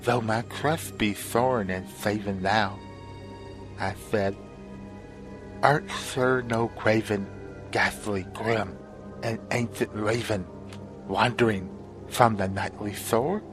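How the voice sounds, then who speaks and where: A man's voice: lowish voice, fairly even intonation, takes it slowly, pauses often, over music. A man giving a dramatic recitation of gothic verse as one of a group of readers.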